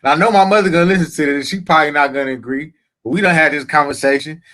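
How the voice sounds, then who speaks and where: A man's voice: a little high, very fast, a bit bright. A middle-aged man co-hosting a casual podcast chat, laid-back and drawling, often repeating himself. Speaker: podcast co-host.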